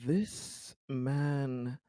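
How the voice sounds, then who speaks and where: Male tabletop gamer. Takes his time speaking, medium pitch, casual bantering delivery. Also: very slow, warm tone, dry recording, clean studio sound. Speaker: tabletop game player.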